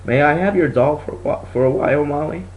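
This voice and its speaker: A man voicing a kind but firm female schoolteacher in a storybook reading, speaking with deliberate emphasis. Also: fairly high pitch, phone-quality sound, slight background noise, voice pushed up, close to an everyday voice. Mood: gentle.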